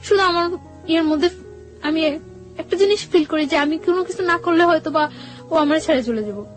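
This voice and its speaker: Young woman, Bangladeshi accent, high pitch, halting personal storytelling, repeats and corrects herself, background music. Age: young adult. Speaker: guest telling own life story.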